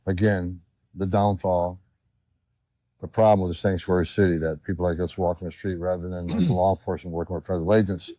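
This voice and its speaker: Lowish, mellow-voiced man asking questions. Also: steady tone, a little fast, no room sound. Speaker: interviewer questioning a detainee on camera. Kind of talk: plain questioning and explanatory commentary.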